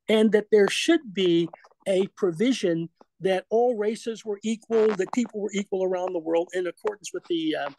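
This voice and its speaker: A man with a high yet mellow voice lectures on history without a script. He stumbles through frequent filler sounds and restarts, stops to make chatty asides, and the sound is dry and close. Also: gravelly, no room sound. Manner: discursive, conversational asides. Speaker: guest speaker at a history association meeting.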